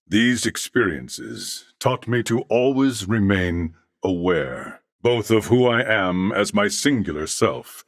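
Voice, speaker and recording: An actor voicing a calm, courteous cybernetic alien in a video game, with a deep, gravelly voice and formal, measured, precise diction. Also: male voice, no echo, studio quality, exaggerated voice. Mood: polite, reflective. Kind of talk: dramatic video game voice acting.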